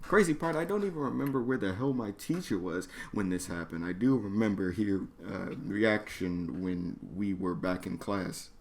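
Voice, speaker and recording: A man's voice: fairly low pitch, moderate pace, hardly any pauses. A man telling a personal story in an emphatic, exasperated way, with plenty of swearing and slang. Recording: clearly noisy background.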